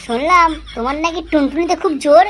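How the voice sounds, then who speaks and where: A woman's voice: high-pitched, fast, noisy background, close to an everyday voice. A woman playing a nosy, bawdy middle-aged village mother-in-law in a comedy cartoon, scolding and suggestive. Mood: suggestive, bossy. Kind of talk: Bengali comedy cartoon dialogue. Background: background music.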